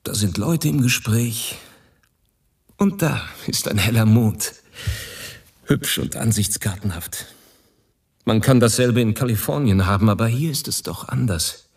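An actor playing a world-weary man abroad in a radio drama monologue, husky and ruminative. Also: fairly low pitch, expressive, unhurried, long pauses, far from the mic, exaggerated voice. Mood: wistful, musing.

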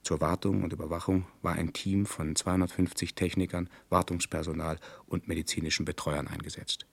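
An actor playing a solemn, detached chronicler narrating a science fiction radio play in a measured, formal, deliberate manner. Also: male voice, low-pitched, level tone, creaky, breathy edge, dry recording, heavily acted. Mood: factual, measured.

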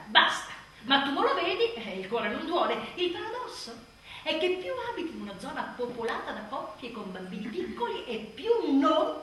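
Echoey, bright-voiced, overwrought young mother. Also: on the high side. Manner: dramatic, swinging between intimate confession and sardonic outbursts. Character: exhausted, anxious young mother confessing guilt and frustration. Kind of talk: theatrical radio monologue, confessional. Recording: very echoey stage, distant mic, faint noise.

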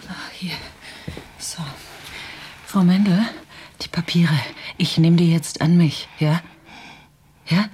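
A woman's voice: fairly low pitch, steady tone, slow, pauses often, dark tone, a little hiss or hum. An actress in a radio drama playing a determined, anxious woman with an insistent, emotional delivery. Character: Martha, urgent, determined, anxious woman investigator. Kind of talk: German radio drama acting.

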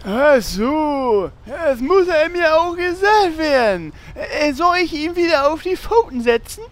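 A performer playing Horst, a naive, clumsy and excitable gamer with a drawling, whiny delivery, in a comedic audio drama parody. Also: sits high, measured pace. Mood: excited, naive.

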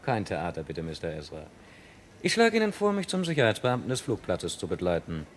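An actor playing a composed, dogged investigator in a German crime radio play, calm, probing and dryly polite. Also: male voice, low-pitched, fairly quick. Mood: politely taunting. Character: composed, dogged male investigator, quietly ironic. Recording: vintage radio play with background noise.

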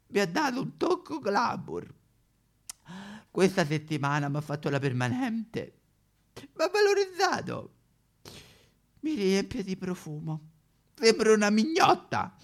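A man's voice: fairly high pitch, creaky, heavily acted. A man voicing a bitter, fearful mongrel dog in a satirical stage monologue, grumbling in colloquial Roman-accented Italian. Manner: grumbling, colloquial, exaggerated complaining.

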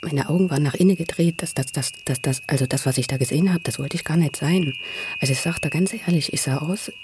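A man, probably middle-aged, tells his own story in a husky voice, halting and repeating words with intensity. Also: fairly high pitch, background noise. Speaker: interviewee telling his life story. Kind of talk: spontaneous, emotional personal storytelling.

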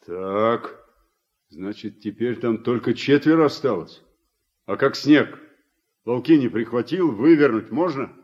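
An actor playing a weary, regretful middle-aged war veteran and farm worker in a radio drama, reflective and plain-spoken. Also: male voice, medium pitch, a little slow, slightly rough. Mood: firm. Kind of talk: Soviet radio drama acting. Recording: muffled old radio recording, music behind.

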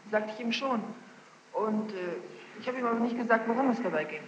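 A woman's voice: fairly high pitch, lively intonation, quick pace. Female witness on an echoing, noisy tape. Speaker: witness under questioning. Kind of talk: courtroom testimony, spontaneous answers. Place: large reverberant courtroom with gallery. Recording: noisy, muffled 1960s courtroom tape, echoing hall.

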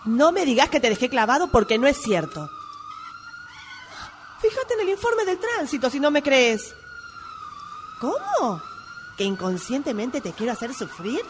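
A woman plays a defensive Argentine girlfriend in a radio play, arguing into her phone. Her voice is very high and swings about with exasperation. Traffic and sirens sound faintly behind her. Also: very animated, a little slow, long pauses. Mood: exasperated. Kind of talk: radio drama acting, one-sided phone call. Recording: amateur workshop recording, sirens and traffic noise.

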